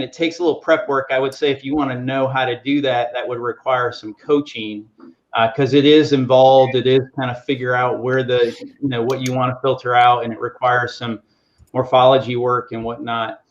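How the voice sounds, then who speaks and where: A man on a video call explaining a software tool in a halting, step-by-step way. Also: medium pitch. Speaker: panelist, software coach. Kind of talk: casual explanation. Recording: dull lo-fi call audio.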